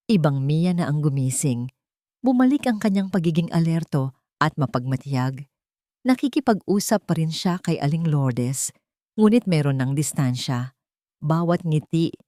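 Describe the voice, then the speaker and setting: A woman tells a dramatic scripted story with suspense and emotion. Her voice sits a little low and moves widely in pitch. The recording is dry and close. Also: warm tone, no echo. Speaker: story narrator.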